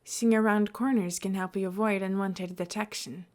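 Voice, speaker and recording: A woman's voice: medium pitch, steady tone, normal speed, a little mellow, natural voice. A woman reading the part of a terse male executive who is hard to please, in an audio story. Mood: measured.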